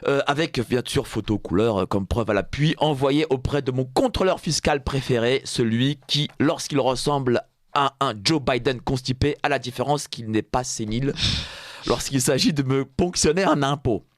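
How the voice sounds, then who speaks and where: Dry-voiced sarcastic French radio columnist. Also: male voice, mid-range voice, moderate pace, no room sound. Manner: sarcastic, mock-solemn, laughing at his own jokes. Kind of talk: satirical radio commentary. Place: radio studio.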